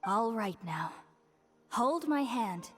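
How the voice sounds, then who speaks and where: High-voiced, effusive young mystic scholar. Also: female voice, expressive, slow pace, over music. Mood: eager. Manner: effusive, reverent. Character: young female scholar, devout, dreamy, eager mystic. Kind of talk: video game voice acting.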